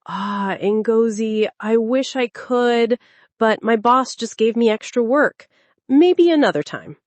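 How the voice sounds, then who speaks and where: A woman's voice: fairly high pitch, takes it slowly, darkish tone, no room sound, voice pushed up. A woman voicing an evasive married man in a storybook tale, placating and full of excuses. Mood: apologetic.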